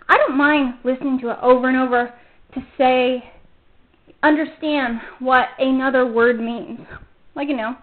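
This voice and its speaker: A woman hosting a casual solo fan podcast, talking in a gushing, enthusiastic fangirl manner. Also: high voice. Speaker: podcast host. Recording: muffled phone-like narrow-band sound.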